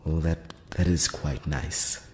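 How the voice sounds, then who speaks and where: A man playing a courteous, curious elf prince in an intimate ASMR fantasy roleplay, speaking softly and formally with some hesitation, close to the mic. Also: extremely low pitch, fairly even intonation, slow pace. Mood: pleased, shy. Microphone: close-up microphone. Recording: roomy long reverb, background hiss.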